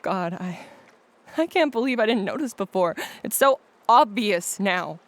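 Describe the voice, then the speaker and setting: A woman's voice: mid-range voice, animated, a little slow. A woman acting a distraught, desperate patient trapped in a virtual reality, pleading and accusing, in an audio drama. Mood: hurt, accusing.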